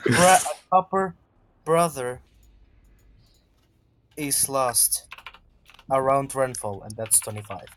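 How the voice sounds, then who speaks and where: A man speaking as game master of a tabletop roleplaying game, with halting, deliberate phrasing. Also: mid-range voice, expressive, a bit bright, a little hiss or hum. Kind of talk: casual game narration and chat.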